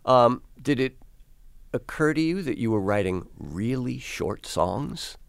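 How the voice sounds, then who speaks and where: A man's voice: medium pitch, lively intonation, unhurried, gravelly, clean tone, no echo. A man hosting a conversational music interview, putting observations and questions to a guest. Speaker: interviewer, music show host.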